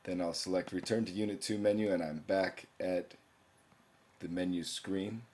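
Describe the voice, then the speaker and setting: Male teacher, young adult, very low voice; plain explanatory screencast narration. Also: steady tone, moderate pace, darkish tone. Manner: plain explanatory, reading quiz questions aloud.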